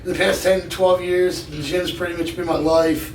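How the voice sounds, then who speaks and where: A man in his thirties with a raspy voice, chatting casually as a podcast guest in blunt, sweary slang. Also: high-pitched, little pitch movement, normal speed. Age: 30s. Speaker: podcast guest, gym enthusiast. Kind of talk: casual chat. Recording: echoey, distant mic with noise.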